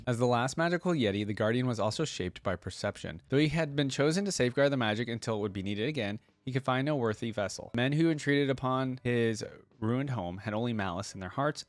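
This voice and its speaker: A man's voice: medium pitch, no room sound. A man hosting a reaction video, speaking in a casual way as he comments and reads aloud.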